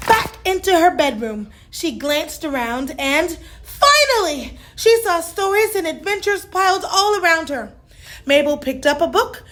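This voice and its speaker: Slow, high-pitched, animated woman storyteller. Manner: dramatic, drawn-out emphasis. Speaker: children's story reader. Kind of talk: animated storybook read-aloud.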